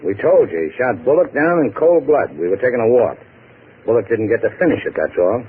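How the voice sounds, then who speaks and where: An actor playing a tough, sardonic American boat skipper, clipped and deadpan, in hardboiled 1950s radio style. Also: male voice, mid-range voice, a little fast, natural voice. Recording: noisy, tinny old radio broadcast.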